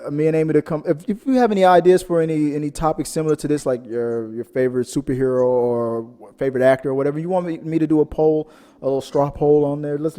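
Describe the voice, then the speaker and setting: Mellow man hosting in a reverberant space. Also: fairly high pitch. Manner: rambling, repeats words and phrases. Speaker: host of a panel show. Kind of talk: casual chat about audience polls. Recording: long echoey hall reverb.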